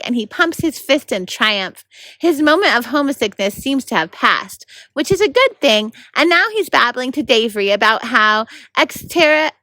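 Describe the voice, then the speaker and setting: Bright higher-voiced woman reading a story. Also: no echo. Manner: coaches child readers with quiet prompts. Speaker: volunteer story reader. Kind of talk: reading a children's novel aloud.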